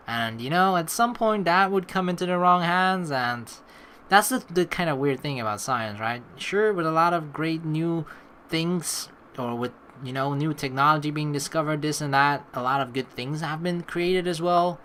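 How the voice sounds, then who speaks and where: A man's voice: medium pitch, sharp tone, slight background noise. A young man hosting a let's play, reading game narration aloud and adding casual commentary.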